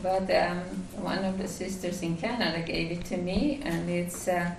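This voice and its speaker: A woman with a Finnish accent gives a devotional talk at a retreat, pausing often with fillers and restarts. Her voice sits low and has a gravelly edge. She is recorded from across a reverberant room, with faint background noise. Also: steady tone, distant mic. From Finland. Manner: halting, many fillers and restarts. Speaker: retreat speaker.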